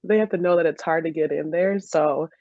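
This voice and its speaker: A woman's voice: deep voice, steady tone, a little fast, no room sound. Young American woman chatting, slightly muffled sound. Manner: giggly, laughs often while talking. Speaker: interview guest, former college soccer player. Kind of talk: casual chat, anecdotal.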